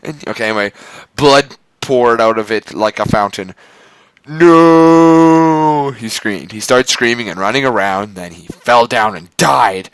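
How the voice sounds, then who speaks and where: A man's voice: on the low side, normal speed, fairly bright tone, no room sound. A man doing a mock-dramatic amateur reading, stumbling over the words and breaking off for exasperated asides. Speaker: amateur reader of fan fiction.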